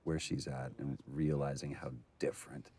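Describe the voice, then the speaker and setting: A man's voice: extremely low pitch, flat delivery, normal speed, warm tone. A man giving casual director's commentary as part of a game's creative team.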